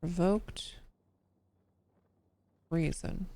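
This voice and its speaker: A woman's voice: fairly low pitch, drawn-out words, vocal fry, dark tone. A tired woman live-streaming while coding, who swears freely and mutters to herself as she works, her voice worn down. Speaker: livestreamer.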